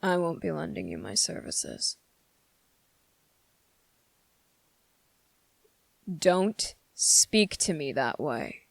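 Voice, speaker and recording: A performer playing a sardonic, condescending demon in a dramatic ASMR roleplay, speaking slowly and deliberately with many pauses. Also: mid-range voice, wildly swooping pitch, vocal fry, no echo, full character voice. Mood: menacing. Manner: slow, deliberate, condescending, pausing often. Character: sardonic, menacing demon weighing a summoner's revenge plea.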